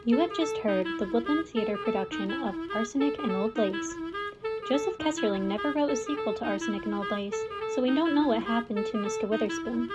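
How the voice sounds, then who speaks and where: A woman's voice: a little high, a little fast, dull audio. A young woman reading scripted closing credits as the announcer of a radio play. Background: loud music.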